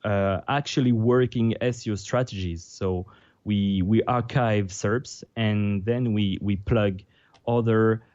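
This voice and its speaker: A man with a French accent, French his first language, speaking as a guest on a video call, halting as he translates on the fly. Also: on the low side, fairly even intonation, darkish tone, no echo, highs cut off. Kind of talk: casual interview over video call.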